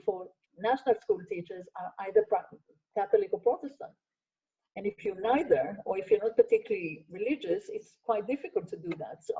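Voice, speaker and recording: An older woman, a Hebrew speaker, answers audience questions in earnest, stacking her points in lists. Her voice is mellow and fairly high, sitting well back from the mic. The video call audio sounds dull and lo-fi. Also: recorded from a distance. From Israel. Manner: earnest, emphatic lists, frequent restarts. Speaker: guest speaker, sociologist.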